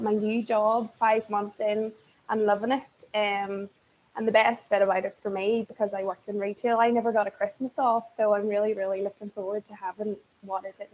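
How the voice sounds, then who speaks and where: A woman's voice: medium pitch, darkish tone. Chatty woman on a lo-fi call. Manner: chatty, enthusiastic, many fillers. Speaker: webinar guest speaker. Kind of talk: casual testimonial. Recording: dull lo-fi call audio with faint hiss.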